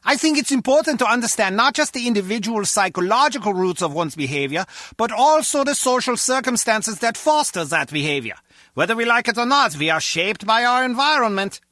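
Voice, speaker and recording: High-voiced, pedantic German henchman. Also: sing-song, a little fast, bright, forceful tone, no echo, studio quality, heavily acted. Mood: earnest lecturing. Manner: earnest, pedantic, lecturing. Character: philosophical German henchman guard, earnest and excitable. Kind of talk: video game character dialogue.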